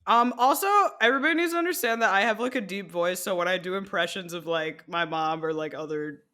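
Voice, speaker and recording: A woman's voice: on the low side, fast, pushed and bright. A young woman co-hosting a casual podcast, rambling and laughing often as she talks. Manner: giggly, rambling, many "like"s. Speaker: podcast co-host, game designer.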